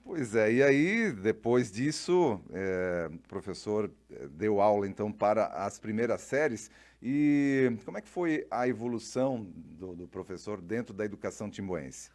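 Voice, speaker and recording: A man's voice: on the low side, very animated, unhurried, few pauses, darkish tone. A man hosting a radio interview, putting questions to his guest with short affirmations and hesitant restarts. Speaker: radio host. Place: radio studio.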